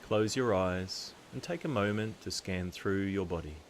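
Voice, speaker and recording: Male meditation guide, low register, calm and deliberate with long pauses, faint hiss on the recording.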